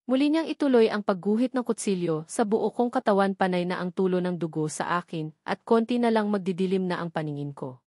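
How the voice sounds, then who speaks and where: A woman's voice: mid-range voice, lively intonation, fairly quick, darkish tone, no room sound, clean studio sound. A woman reading a scripted novel aloud as a first-person story narrator. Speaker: audiobook narrator.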